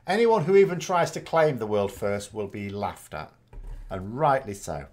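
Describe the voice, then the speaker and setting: A man's voice: on the low side, big pitch swings, moderate pace, a little mellow. A man, chatting casually and rambling as a gaming host answering viewer questions, often correcting himself with dry self-mockery. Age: 40s.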